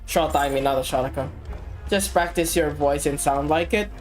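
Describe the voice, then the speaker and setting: A woman from the Philippines streaming a game, chatting casually and getting excitable as she plays. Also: low voice, normal speed. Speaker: VTuber streamer. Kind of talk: casual gaming chat. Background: game music.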